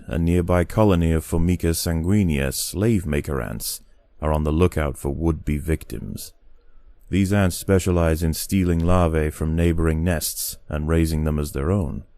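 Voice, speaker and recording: A man delivering scripted nature documentary narration in a solemn, deliberate, dramatic manner. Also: sits low, mellow, no echo. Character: grave, measured male nature documentary narrator.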